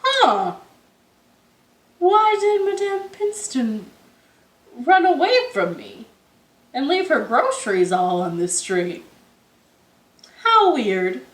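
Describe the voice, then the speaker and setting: A gentle storybook lion, friendly and curious, wondering aloud in a lifted, sing-song voice as a woman puts him on. The sound carries a roomy echo. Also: high voice, very animated, takes it slowly, pauses often, far from the mic, pitched above the performer's own voice, heavily acted. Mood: puzzled. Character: friendly curious male lion, polite and puzzled.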